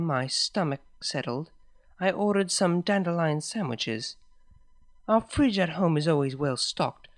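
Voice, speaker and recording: A man reading a first-person fan story aloud in a steady, even way. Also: fairly high pitch. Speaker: story reader.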